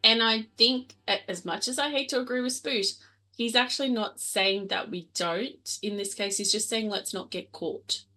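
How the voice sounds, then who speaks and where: A woman's voice: fairly high pitch, moderate pace, bright, forceful tone. A woman chatting casually as a player in a tabletop roleplaying game.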